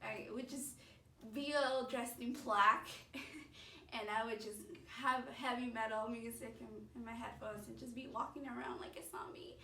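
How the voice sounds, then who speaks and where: Halting woman in her thirties, roomy sound. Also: fairly high pitch, steady tone, bright, forceful tone, a little hiss or hum. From San Francisco Bay Area, USA. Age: 30s. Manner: halting, with frequent pauses and fillers. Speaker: patient giving a testimonial. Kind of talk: personal testimonial. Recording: roomy, mic set at a distance.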